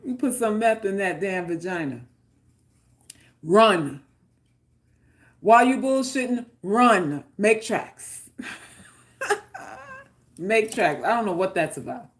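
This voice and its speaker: A woman's voice: mid-range voice, lively intonation, slow, pauses often. A woman from Queens with a New York accent, chatting casually and bluntly with viewers, joking and swearing freely. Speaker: livestream host reading chat. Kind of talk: casual unscripted chat.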